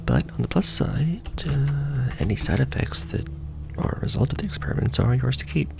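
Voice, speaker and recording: A man playing a casual, wry secret-society intake clerk in a soft-spoken, half-whispered ASMR roleplay, deadpan and rambling. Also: mid-range voice, moderate pace, vocal fry, background noise. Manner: deadpan, rambling, mumbling to himself over paperwork. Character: casual, wry male Illuminati recruitment clerk doing intake paperwork.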